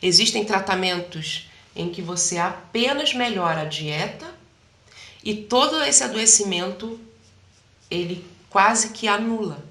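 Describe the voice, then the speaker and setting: A woman, a health practitioner, explaining things in an animated interview chat and often acting out quoted voices. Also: medium pitch, a little slow, roomy sound.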